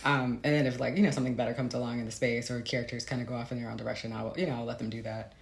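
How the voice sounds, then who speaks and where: An American woman talking casually and reflectively as an interviewed author, in an informal, halting way with frequent filler phrases. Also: rumbling low, level tone, gravelly. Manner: informal, hesitant, laughs often, frequent "like" and "you know". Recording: roomy echo, faint background noise.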